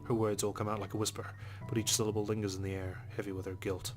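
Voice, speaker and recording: A man's voice: on the low side, little pitch movement, fast talker. A man hosting a let's play, reading a visual novel's first-person narration aloud. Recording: music under the voice. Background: game music.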